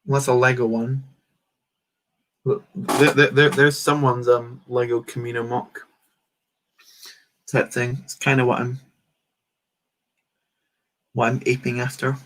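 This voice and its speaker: A man's voice: medium pitch, flat delivery, measured pace, pauses often, a bit bright, poor audio. A man hosting a casual livestream, rambling and answering chat comments while he builds. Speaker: livestream host.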